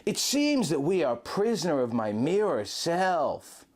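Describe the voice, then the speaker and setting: A man's voice: a little high, very animated, measured pace, voice pushed up. A man voicing an earnest captive fantasy hero, strained and anguished, in a story reading. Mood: faltering. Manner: strained, faltering, indignant.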